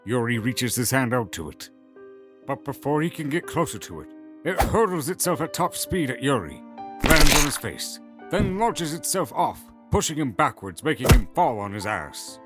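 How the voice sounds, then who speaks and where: Animated male storyteller over soft music. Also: mid-range voice, moderate pace. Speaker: narrator. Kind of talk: scripted fantasy audio drama narration.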